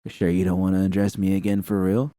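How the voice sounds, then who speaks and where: A man reading a fan story voices a sly, teasing young woman mercenary, raising his pitch for her. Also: flat delivery, fast, mellow, dry recording, studio quality, lightly acted.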